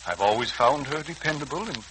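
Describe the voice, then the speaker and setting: Fatherly senior doctor , male actor, 1940s radio serial. Measured, wry, expressive mid-range voice on a noisy old recording. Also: mid-range voice, natural voice. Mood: calm, wry. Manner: measured, avuncular.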